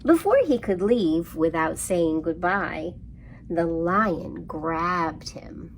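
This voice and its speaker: Slow-paced woman storytime host, creaky voice. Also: lowish voice, lively intonation, slight background noise. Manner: sing-song, asking questions of young listeners. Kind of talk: interactive storytime for young children.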